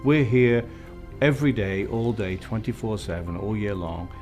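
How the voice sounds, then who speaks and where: A middle-aged man from Wales with a slight Welsh accent, a fire chief speaking in a measured, reflective way in an interview. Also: fairly low pitch, darkish tone, soft background music. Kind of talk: interview talk.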